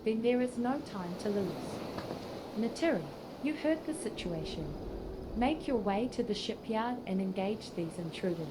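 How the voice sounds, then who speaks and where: A dutiful female officer from a fantasy game , voiced by a woman, speaks in stately, archaic phrases. Her pitch sits in the middle for a woman. Clear ambient noise runs behind her scripted lines. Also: animated, normal speed, natural voice. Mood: urgent. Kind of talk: scripted fantasy game dialogue read by text-to-speech.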